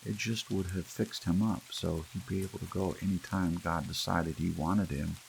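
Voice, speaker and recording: A man's voice: low-pitched, steady tone, normal speed, vocal fry, a little hiss or hum, voice pushed up, rough recording. A man voicing a loving, protective wife in a storybook, tender but insistent. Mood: soothing, conspiratorial.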